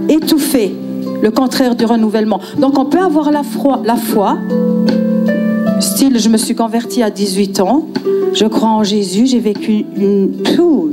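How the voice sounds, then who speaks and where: A woman from Switzerland giving a passionate, emphatic sermon, repeating words for effect. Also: fairly high pitch. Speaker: guest preacher. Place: church conference hall. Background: guitar and piano music.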